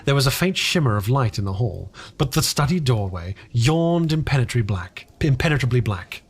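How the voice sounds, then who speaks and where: A man reading fiction aloud as a dramatic audiobook narrator, deliberate and expressive, with the occasional stumble of a single take. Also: medium pitch, husky.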